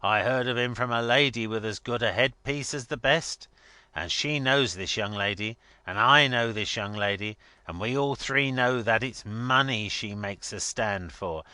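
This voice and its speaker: A man's voice: mid-range voice, moderate pace, bright, forceful tone, no echo. A man voicing a blustering, scornful older rich man in a dramatic story reading, emphatic and mocking. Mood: ranting, mocking.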